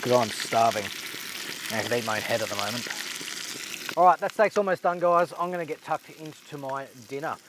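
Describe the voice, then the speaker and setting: A man with an Australian accent vlogging casually at an outdoor bush campsite while he cooks, rambling and relaxed, a little snuffly from a blocked nose. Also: medium pitch, a little fast, background noise. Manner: relaxed, rambling, laid-back slang. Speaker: overlanding vlogger. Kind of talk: casual outdoor vlog narration while cooking.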